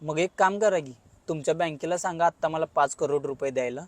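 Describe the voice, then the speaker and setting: Man playing a high-voiced cheeky auto-rickshaw driver. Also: fast talker, lightly acted. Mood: wry, boastful. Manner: wisecracking, colloquial. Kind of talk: comic cartoon dialogue.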